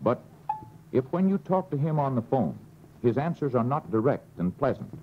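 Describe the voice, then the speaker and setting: Vintage American training film narrator, lively intonation. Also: male voice, medium pitch, normal speed. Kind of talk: scripted instructional film narration. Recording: dull vintage film soundtrack, faint hiss.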